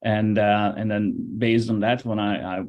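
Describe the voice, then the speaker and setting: A man, a business advisor and professor, speaking in a measured way with frequent fillers in a casual interview. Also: lowish voice, level tone, muffled recording. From Hungary. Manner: measured, hesitant with many fillers.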